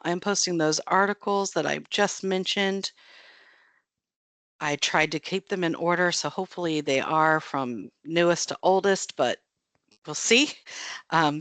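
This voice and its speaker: A woman's voice: deep voice, fairly bright tone, no room sound. An American woman moderating an online webinar, giving friendly, chatty announcements with frequent ums and small restarts. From United States. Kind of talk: casual announcements to an online audience.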